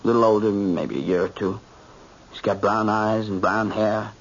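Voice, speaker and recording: A man's voice: fairly low pitch, steady tone, normal speed, vocal fry. An actor playing a shaken, brooding young American man, a grown son in an old-time radio drama, intense and insistent. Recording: muffled, faintly noisy old radio recording.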